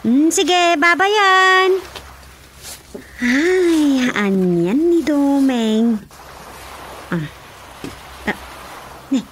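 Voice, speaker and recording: A woman's voice: high-pitched, animated, very slow, long pauses, fairly bright tone, a little hiss or hum, full character voice. A woman voicing a flustered, exclaiming village auntie with an Ilocano Filipino accent in a comedic radio drama.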